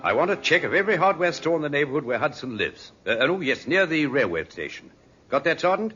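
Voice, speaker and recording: An actor playing a shrewd, commanding police inspector in a 1950s radio drama, speaking with clipped, wry authority. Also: male voice, on the high side, sing-song, fast, pushed and bright, faint music behind. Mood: wry, businesslike. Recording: muffled, noisy 1950s radio recording.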